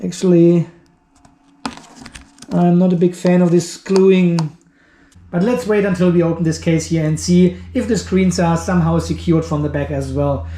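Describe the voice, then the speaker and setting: A man's voice: high-pitched, fairly even intonation, moderate pace, mellow, slight background noise. A man hosting a casual hands-on product review, talking informally and thinking aloud as he handles the item. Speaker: video host, product reviewer. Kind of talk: casual unboxing and review commentary. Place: indoor workshop or garage. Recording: roomy, echoing indoor sound.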